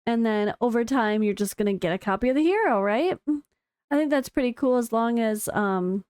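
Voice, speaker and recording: An American woman with a high, clear voice that is slightly hoarse today talks through game developer notes in a chatty, excitable way, full of fillers. The sound is dry and close, with no room echo. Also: moderate pace. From United States. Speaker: gaming content creator. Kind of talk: casual commentary reading developer notes.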